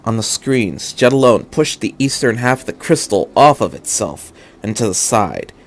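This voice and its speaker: A man's voice: fairly low pitch, sing-song, normal speed. A man reading fan fiction aloud as a narrator, with an earnest, dramatic delivery.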